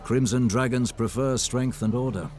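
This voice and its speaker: A man playing a grave, analytical advisor in a fantasy game, measured and serious. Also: mid-range voice, a little mellow, soft background music, lightly acted. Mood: grave concern.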